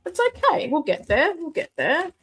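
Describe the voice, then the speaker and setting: Chatty, high, lively woman streamer. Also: moderate pace. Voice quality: self-described booming voice. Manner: chatty, reads viewer comments aloud, laughs at herself. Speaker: host of a live toy collecting show. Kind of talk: casual live-stream chat and unboxing. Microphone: desk mic, moved away at times.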